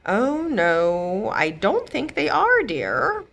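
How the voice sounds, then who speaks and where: A woman's voice: medium pitch, huge pitch swings, drawn-out words, vocal fry, a bit bright, heavily acted. A woman voicing a mild, placating husband and assistant to a fairy godmother in a children's storybook. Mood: soothing, flustered. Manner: placating, mild-mannered, apologetic. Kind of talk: children's storybook read-aloud.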